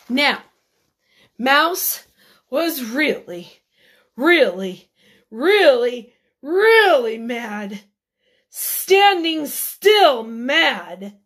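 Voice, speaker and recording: A woman reading a picture book aloud to children, expressively and deliberately, with dramatic emphasis. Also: sits high, a bit bright. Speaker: children's read-aloud storyteller.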